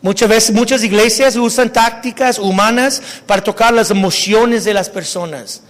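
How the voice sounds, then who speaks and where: A man preaching a casual, halting sermon, often restarting words and dropping slang into his talk. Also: high-pitched, in a large hall. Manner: casual preaching mixing Spanish with English slang. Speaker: pastor. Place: church sanctuary.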